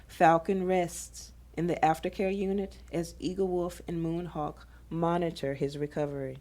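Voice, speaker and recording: A woman's voice: deep voice, dark tone. A woman reading scene directions as the narrator of a scripted audio drama.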